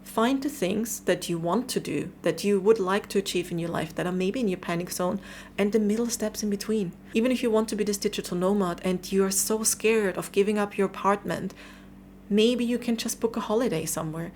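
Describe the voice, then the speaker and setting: A woman's voice: medium pitch, a little fast, a little mellow, slight background noise. A woman from Austria in her thirties talking candidly to camera in a motivational vlog, chatty and casual with some fillers. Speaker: vlogger.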